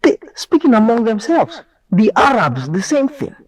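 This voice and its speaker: A man with a Nigerian accent whose first language is Yoruba, telling an animated story and mimicking people. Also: extremely high pitch, moderate pace, breathy edge, distant mic. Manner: lively, mimics people, mixes in pidgin. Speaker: content creator.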